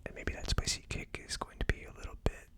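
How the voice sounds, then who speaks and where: An ASMR host whispering a tasting review in a hushed, unhurried, musing way. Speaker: host of a whiskey tasting channel.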